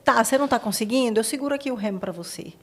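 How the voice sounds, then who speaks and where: A woman's voice: fairly high pitch, racing. Brazilian woman hosting a conversational podcast. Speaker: podcast host.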